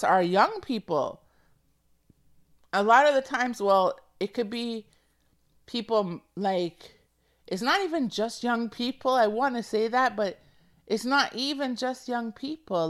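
A woman touched by speech hosts a podcast and talks in an earnest, emotional way, often repeating phrases to press a point. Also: mid-range voice. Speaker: podcast host. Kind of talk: casual heartfelt monologue.

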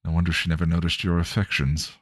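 A man voicing a prim, formal young gentleman, the fussy soul of a vampire. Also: deep voice, flat delivery, fast, husky, no echo, studio quality.

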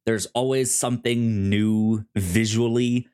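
Halting, casual man co-hosting a podcast. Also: lowish voice, steady tone, warm tone, smooth. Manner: halting, stammering repeats on word starts. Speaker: podcast co-host. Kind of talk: casual conversational TV review.

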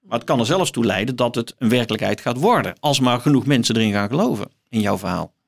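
Thoughtful man with a creaky voice. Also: mid-range voice, animated. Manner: thoughtful, halting, repeats words while searching for phrasing. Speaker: guest, professor. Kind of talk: conversational explanation of books.

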